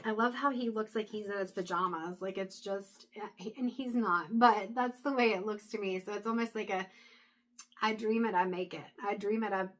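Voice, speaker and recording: A woman reads tarot cards aloud in a relaxed, chatty way, talking through her thoughts with fillers and restarts. Her voice sits at an ordinary middle pitch but is wearing thin and hoarse. She pauses now and then to clear her throat. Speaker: tarot reader. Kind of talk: casual intuitive tarot reading to camera.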